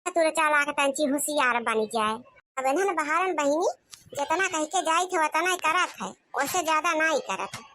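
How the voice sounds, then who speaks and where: A woman's voice: sits high, expressive, normal speed, bright, forceful tone. A woman voicing a boastful old village mother-in-law in a comedy cartoon, grumbling and gossiping with an Awadhi accent. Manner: gossipy, complaining, repeatedly addressing a confidante. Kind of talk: comic cartoon monologue.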